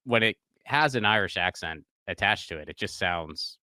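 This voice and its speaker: Male co-host, lowish and crisp, self-deprecating stammerer, dry close mic. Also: expressive, normal speed, pushed and bright, no echo. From Pittsburgh. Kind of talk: casual chat.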